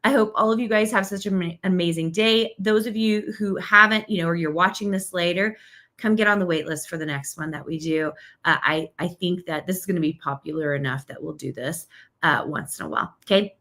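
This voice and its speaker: A woman's voice: lowish voice, brisk, fairly bright tone. A middle-aged woman, a marketing coach, teaching live in an upbeat, chatty way, checking in with "okay?" and "right?", and saying she is losing her voice.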